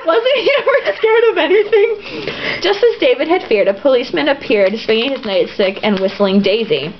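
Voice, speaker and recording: A woman's voice: high voice, lively intonation, moderate pace. A woman reading a novel aloud informally, breaking off for giggly, sarcastic, profane asides. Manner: irreverent, giggly, sarcastic commentary. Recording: muffled, lo-fi home recording with background noise.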